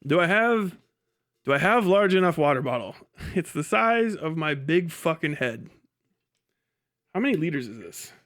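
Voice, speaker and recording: A man's voice: fairly high pitch, sing-song, normal speed, pauses often, a bit bright. A man hosting a casual livestream, rambling bluntly with lots of profanity and muttered asides to himself and chat. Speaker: livestream host.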